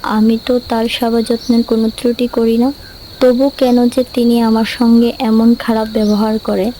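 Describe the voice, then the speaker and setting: A woman voicing a jealous, scheming young daughter-in-law in an animated folk tale, with a complaining, self-justifying manner. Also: fairly high pitch, moderate pace, slight background noise, natural voice, low-quality recording. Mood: falsely aggrieved. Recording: music behind the voice.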